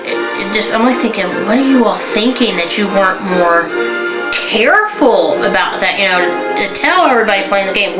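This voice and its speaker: A young adult woman from Northern Kentucky, telling local stories in a casual, halting vlog full of fillers and restarts. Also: mid-range voice, reverberant, poor audio. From Northern Kentucky, USA. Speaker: vlogger. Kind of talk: casual rambling storytelling.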